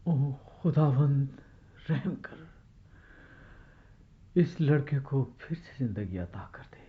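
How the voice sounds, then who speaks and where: An actor playing a devout, compassionate prophet in a religious drama, with a husky, breathy voice and a solemn, pleading manner. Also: male voice, mid-range voice, animated, narrow, tinny audio. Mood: sorrowful pleading. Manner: solemn, pleading, slow in prayer.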